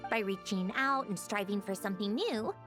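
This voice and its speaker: A woman's voice: medium pitch, moderate pace, close to an everyday voice. A woman voicing a proud, easily flustered young woman in a video game, speaking in a haughty, theatrical way with grudging fondness. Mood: sincere. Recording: game dialogue with music behind.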